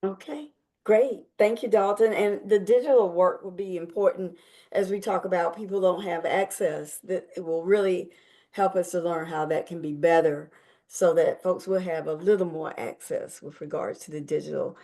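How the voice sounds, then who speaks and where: A woman chairing an online committee meeting, speaking in a measured, encouraging way. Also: lowish voice, steady tone, warm tone.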